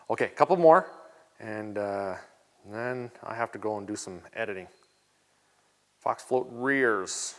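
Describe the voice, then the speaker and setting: A Canadian man hosting a casual vlog, answering viewer questions in a relaxed, chatty way with plenty of ums and uhs. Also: lowish voice. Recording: long reverb.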